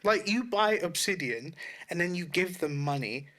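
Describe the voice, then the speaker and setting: A man with a fairly high, very bright voice talks in a casual podcast style. He is informal and loose-tongued, often rephrasing himself as he makes his point. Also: animated, moderate pace. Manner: informal, sweary, self-correcting. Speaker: podcast co-host. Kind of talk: casual opinionated gaming podcast chat.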